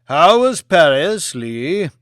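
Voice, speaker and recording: A man's voice: fairly high pitch, sing-song, slow pace, fairly bright tone, no echo, clean studio sound, full character voice. A man voicing a patronising aristocratic British lord in an audiobook, exclamatory and falsely jovial. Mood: hearty greeting.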